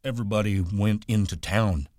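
A hulking, simple-minded farmhand speaks in a hesitant, childlike, pleading way, as a male audiobook reader puts him on. His low voice is lifted a little for the part. The sound is dry and close. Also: normal speed, a little mellow, dry recording, close to an everyday voice.

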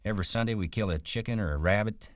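A man voicing a ranch worker who talks in a rustic drawl, brisk and rolling. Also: sits low, no echo, studio quality, close to an everyday voice. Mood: dreamy. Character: wiry male ranch worker, guarded and dreaming.

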